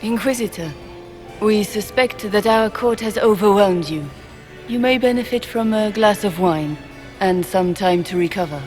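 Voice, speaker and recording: An actress playing a poised, regal empress with a formal, stately manner in a fantasy video game. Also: female voice, medium pitch, moderate pace, a little mellow, soft background music, lightly acted. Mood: gracious, cool.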